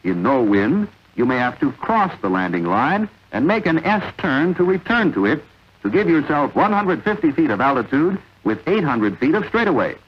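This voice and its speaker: A man narrating a vintage military training film in a measured, authoritative, instructional way. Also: fairly high pitch, very animated, breathy edge. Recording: dull, lo-fi vintage film soundtrack.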